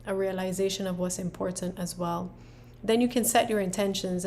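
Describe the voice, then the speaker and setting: A woman from Barbados with a Barbadian accent, casually walking viewers through her planner with lots of "um" and "right?". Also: on the low side. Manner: relaxed, many fillers and "right?" tags. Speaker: planner creator and presenter. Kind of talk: casual explanatory walkthrough.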